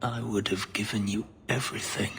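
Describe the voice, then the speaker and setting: Brooding elf warrior, very low-voiced. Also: male voice, steady tone, fairly bright tone, slight background noise. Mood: bitter, desolate. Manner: grave, measured, intense. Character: brooding embittered elf warrior, escaped slave.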